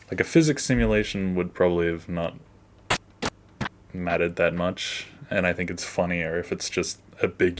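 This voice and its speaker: A man's voice: low voice, a little hiss or hum. A man giving a casual behind-the-scenes walkthrough as an animator, offhand and self-deprecating, often trailing off. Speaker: animator, video creator.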